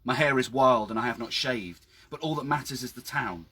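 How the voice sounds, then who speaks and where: A man reading his own story dramatically outdoors, with measured, hushed and suspenseful delivery. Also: mid-range voice, husky. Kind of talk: dramatic scripted story narration. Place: outdoors by a town well.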